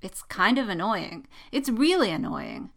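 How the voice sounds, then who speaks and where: Woman as a teasing male best friend, bright voice. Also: mid-range voice, wildly swooping pitch, measured pace, creaky, exaggerated voice. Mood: needling. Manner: teasing, sarcastic, gleefully needling. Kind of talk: dramatic fan fiction reading.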